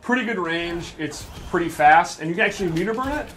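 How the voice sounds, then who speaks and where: A man's voice: high voice, expressive, fast talker, a bit bright, a little hiss or hum. A man giving casual gameplay commentary, explaining things enthusiastically. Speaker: presenter of a game character breakdown.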